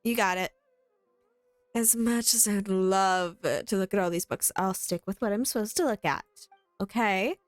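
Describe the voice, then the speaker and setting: A woman streaming a game with casual commentary, musing to herself and reading and reacting aloud in an unhurried way. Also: mid-range voice, no room sound. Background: game music.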